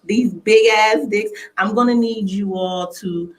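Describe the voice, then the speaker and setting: A woman's voice: mid-range voice, unhurried, poor audio. A woman hosting a casual, bawdy radio chat in a frank, playful and slangy manner. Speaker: talk show host.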